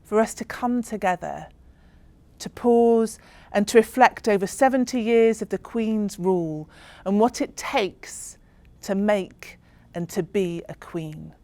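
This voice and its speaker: A woman storyteller giving a solemn, reflective spoken-word narration in a slow, ceremonial manner. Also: mid-range voice, darkish tone, dry recording.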